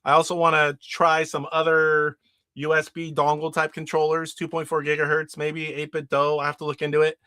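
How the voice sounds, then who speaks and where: A man's voice: fairly high pitch, completely flat delivery, normal speed, bright, forceful tone, smooth, no echo, studio quality. A middle-aged man hosting a casual live stream about retro games, sick and nasally with his voice starting to go. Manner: rambling, demonstrating games live. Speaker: retro gaming show host.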